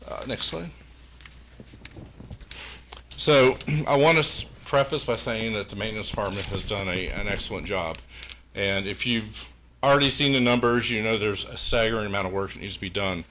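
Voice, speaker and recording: Male presenter, booming and forceful, folksy with fillers, slightly low-pitched. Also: normal speed, a little hiss or hum. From Pulaski County, Virginia, USA. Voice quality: big booming voice. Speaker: engineering firm presenter. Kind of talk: presentation to a school board. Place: public meeting room. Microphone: meeting room microphone.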